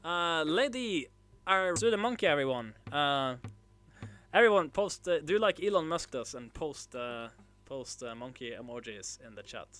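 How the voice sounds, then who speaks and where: A man from northern Norway, hosting a casual livestream and chatting with viewers in a halting way, full of filler sounds and restarts. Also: a little high, expressive, a bit bright. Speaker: livestream host. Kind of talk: casual livestream chat, reading chat messages.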